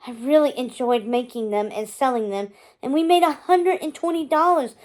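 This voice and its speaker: Lady doing a sweet eager young fairytale girl, bubbly n earnest, high pitched. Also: moderate pace, darkish tone, close to an everyday voice. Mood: eager, proud.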